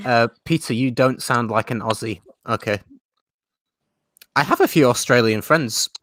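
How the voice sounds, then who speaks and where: A man's voice: medium pitch, normal speed, a bit bright, dry recording. A man from Yorkshire with a Yorkshire accent, hosting a casual live-stream chat and answering viewer comments. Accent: Yorkshire English. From Yorkshire, England. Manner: chatty, reads out viewer comments and answers them. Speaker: host.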